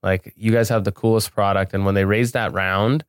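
A man's voice: lowish voice, steady tone, normal speed, clean tone, dry recording, clean studio sound. A man speaking as an interview guest in a casual, informal and enthusiastic way. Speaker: podcast guest, business owner.

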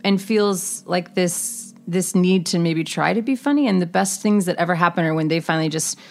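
A chatty woman from the Carolinas with a slightly low voice. She talks loosely, full of "like" and "um," and laughs easily. She sounds relaxed and unscripted. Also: normal speed. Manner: chatty, laughing, lots of fillers. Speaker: podcast co-host, guest. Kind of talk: casual chat. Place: studio.

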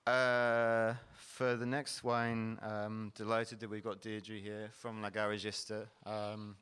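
A man with a lowish voice talks through a wine tasting with steady, even intonation. His tone is smooth and resonant, and he describes each wine in a patient, explanatory manner. He sounds like a middle-aged host at a fair. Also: slow pace. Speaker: panel host. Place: event hall at a wine fair.

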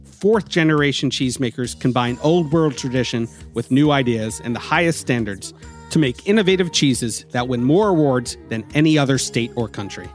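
A man's voice: medium pitch, moderate pace. A man reading a scripted sponsor message in an upbeat way. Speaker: sponsor announcer. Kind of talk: scripted ad read. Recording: music bed under the voice. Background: faint guitar music.

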